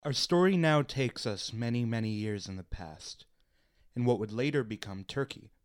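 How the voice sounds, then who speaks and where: An actor playing the narrator of a radio play, reading scripted scene descriptions. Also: male voice, medium pitch, moderate pace, natural voice. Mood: storytelling.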